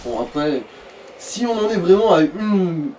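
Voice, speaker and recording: A man's voice: high-pitched, expressive, unhurried, darkish tone. A man giving casual, explanatory gaming commentary in slangy speech. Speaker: let's play co-host. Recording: slightly distant, noisy amateur recording.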